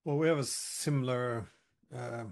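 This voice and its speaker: A man's voice: medium pitch, fairly even intonation, takes it slowly, gravelly, darkish tone. A man hosting an interview, talking in a hesitant, conversational way. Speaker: interview host.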